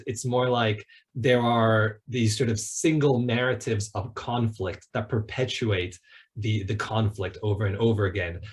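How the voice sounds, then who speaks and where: A man giving a semi-prepared, discursive academic talk as a panelist at an online event. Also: lowish voice. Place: online video call.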